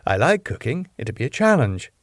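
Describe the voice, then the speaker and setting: A deadpan older British landlady, put on by a man, flat and matter-of-fact. The delivery is dry and close to the mic. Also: mid-range voice, normal speed, no room sound. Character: deadpan older woman, club owner's wife.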